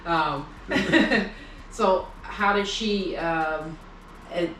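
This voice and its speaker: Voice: medium pitch, drawn-out words, a bit bright, a little hiss or hum. An interviewer who asks probing questions and affirms the guest's answers. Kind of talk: interview conversation. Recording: echoey room, mic at a distance.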